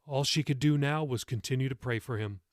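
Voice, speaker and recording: A man's voice: medium pitch, fairly quick, dry recording, clean studio sound. A man reading his own novel as scripted audiobook narration.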